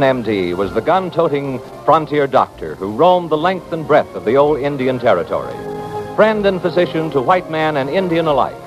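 A man announcing a 1950s radio western in a grand, emphatic, dramatic style with drawn-out key words. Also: fairly high pitch. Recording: dull old radio recording, loud music.

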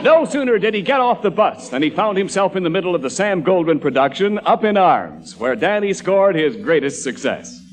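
An American male announcer narrates in forties radio style. His fairly high voice moves with animated, mock-grand intonation through scripted lines. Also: moderate pace, hardly any pauses.

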